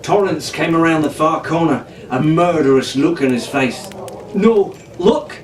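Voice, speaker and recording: A man reading a storybook adventure in a dramatic, theatrical, animated way. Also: fairly high pitch, normal speed. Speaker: audiobook narrator reading in character as a pirate captain. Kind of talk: dramatic scripted storybook narration. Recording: roomy, distant, noisy home-style recording.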